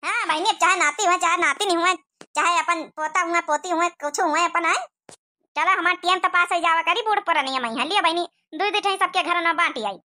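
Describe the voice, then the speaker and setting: A woman's voice: extremely high pitch, a little fast, sharp tone. A woman voicing a gossipy older village mother-in-law in a cartoon comedy, with an Awadhi accent and a chatty, folksy scolding manner. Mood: proud, chatty.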